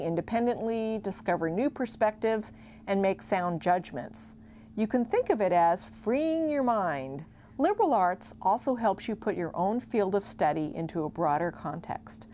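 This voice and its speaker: A woman giving scripted explanatory narration as a college advisor. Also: mid-range voice. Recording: faint steady hum and noise.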